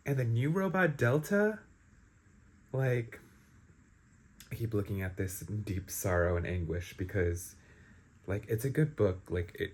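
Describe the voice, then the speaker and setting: A man talking casually as a book vlogger, reacting in an animated, slangy, emphatic way. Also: lowish voice. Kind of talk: casual live reading-reaction vlog.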